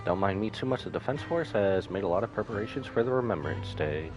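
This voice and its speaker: A man voicing a kind, grieving brother from a game, gentle and reassuring. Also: on the low side, expressive, fast, close to an everyday voice, rough recording. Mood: wistful, gentle. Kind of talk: game dialogue read aloud by a streamer. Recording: music behind the voice.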